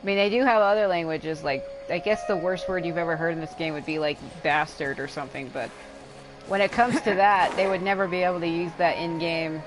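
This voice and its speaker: A woman's voice: fairly low pitch, brisk, low-quality recording. A woman livestreaming a game, giving casual, rambling, offhand commentary with some swearing. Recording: game music playing behind the voice. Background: game music.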